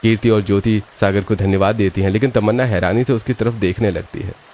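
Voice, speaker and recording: A man's voice: on the low side, fairly even intonation, a little fast, darkish tone, a little hiss or hum. A man narrating a scripted story as its storyteller.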